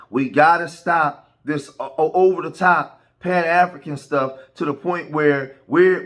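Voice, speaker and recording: American guy ranting commentary, high bright voice, keeps saying right?. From United States. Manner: emphatic, repeats words and asks "right?". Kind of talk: reaction commentary.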